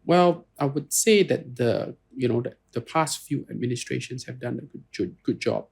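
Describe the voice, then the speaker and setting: A man with a Singaporean English accent and a gravelly, husky voice, talking in a relaxed interview. He speaks earnestly and often restarts his phrases. His pitch sits in the middle range. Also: normal speed. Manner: earnest, repeats "you know" and restarts phrases. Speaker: guest lawyer. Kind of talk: conversational interview answers.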